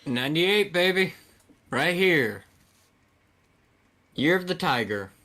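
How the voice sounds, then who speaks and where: A young man in his twenties speaking in an easygoing, joking manner as a podcast co-host. His voice comes across bright and fairly forceful. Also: fairly high pitch, lively intonation, slow pace. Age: 20s. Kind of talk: casual chat.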